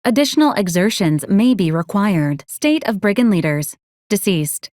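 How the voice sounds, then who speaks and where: A female robot assistant from a video game, acted by a woman, politely officious and literal. She delivers formal advisories in a clipped, deadpan manner at a middling pitch. The sound is dry, with no room around it. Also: moderate pace, no echo, natural voice. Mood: dryly helpful. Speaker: robot companion giving mission advisories. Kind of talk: scripted video game character lines.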